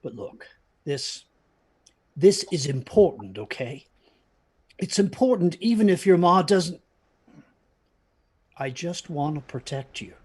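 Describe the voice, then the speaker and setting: Actor as a haunted, halting father, highish voice. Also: a little mellow, breathy edge. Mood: anxious, protective. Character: protective, haunted father telling his children a ghost story. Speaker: storyteller narrator. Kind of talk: dramatic first-person storytelling in audio drama.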